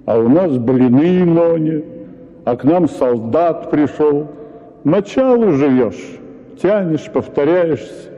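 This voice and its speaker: An actor reading a story for radio as a husband, lecturing in an exasperated tone. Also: male voice, a little high, lively intonation, slow, mellow. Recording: tinny radio broadcast with hall reverb.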